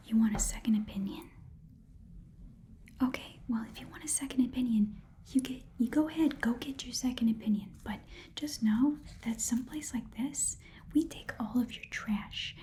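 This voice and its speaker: A sly little goblin pawnbroker, played by a woman, whispers in a soft, mellow voice. The talk rambles in casual, slangy mutters, blunt and unpolished, in the style of an ASMR fantasy shop roleplay. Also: on the high side, normal speed, lightly acted. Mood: haggling, dismissive. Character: small, sly, blunt goblin pawnshop keeper. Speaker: ASMR performer playing a shopkeeper.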